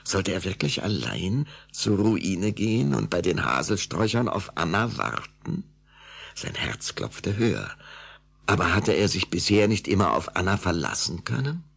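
Gravelly, animated male storyteller. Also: low-pitched, a little slow. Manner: suspenseful, expressive storytelling. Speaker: narrator. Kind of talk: dramatic scripted story narration.

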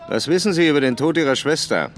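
An actor playing a brusque police commissioner in a radio crime drama, questioning in terse, pointed phrases. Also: male voice, on the high side, expressive, quick pace, some chatter behind, lightly acted. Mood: blunt, insistent. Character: brusque, probing male police commissioner leading a murder investigation. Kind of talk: German radio drama acting.

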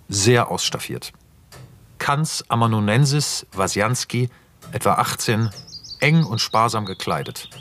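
A man's voice: mid-range voice, a little hiss or hum, lightly acted. A man playing a sober narrator who reads screenplay stage directions in a radio play, factual and clipped.

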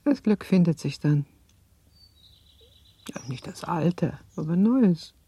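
A woman's voice: lowish voice, animated, slow, warm tone, breathy edge, no room sound, exaggerated voice. A woman acting a comforting but firm older mother in a radio play, soothing and insistent. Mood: consoling, hopeful.

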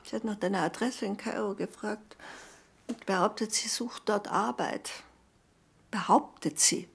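An actress playing an agitated, suspicious middle-aged mother in a radio drama, with a raspy voice and a defensive, stubborn manner. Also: medium pitch, unhurried, heavily acted. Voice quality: raspy, creaky, breathy. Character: agitated, suspicious mother at her wits' end.

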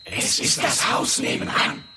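A man's voice: a little slow, pushed and bright. An actor playing a defiant citizen of plague-time London, chanting his testimony in a 1960s German radio play. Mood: anxious denial. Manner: declamatory, chanting. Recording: echoey, distant from the mic.

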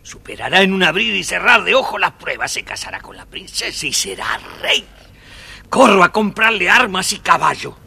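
A blustering old artisan father from a children's storybook record. His voice is sharp and forceful with a gravelly edge, swinging widely as he scolds and scorns, over background noise. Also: high voice, very animated, moderate pace, full character voice. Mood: proud, scheming. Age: older. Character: harsh, scolding old artisan father favoring one son. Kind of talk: children's audio story acting.

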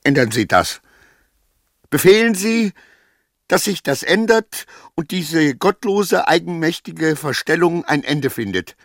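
A man's voice: fairly high pitch, big pitch swings, unhurried, a bit bright, no room sound, studio quality, full character voice. An actor playing a distraught, obsequious man losing his grip in a radio play, rambling and questioning himself in formal, old-fashioned phrases. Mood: frantic, pleading.

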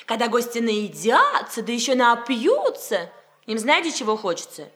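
A woman's voice: on the high side, big pitch swings, moderate pace, a bit bright. An actress playing a naive, cheeky young daughter in a 1960s radio comedy, with an artless, pert, girlish delivery. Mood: artless, indignant.

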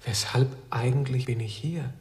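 Ein Mann als verträumter Herr, hallig aufgenommen. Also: male voice, medium pitch, normal speed, darkish tone, natural voice. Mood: bewildered. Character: dazed male visitor, searching, dreamy, gentleman. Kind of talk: German radio drama acting. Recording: roomy echo, music underneath. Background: music.

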